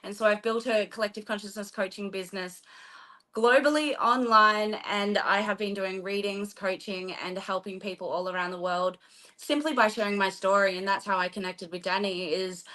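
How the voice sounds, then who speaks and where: Brief: Australian woman, mid-pitched, earnest and open guest. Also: fairly even intonation, normal speed, pushed and bright. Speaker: guest, intuitive coach.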